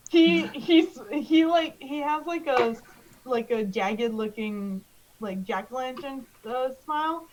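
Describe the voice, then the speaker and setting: A woman's voice: high-pitched, slow, slight background noise, low-quality recording. A young woman narrating as game master in a tabletop game, hesitant with many fillers.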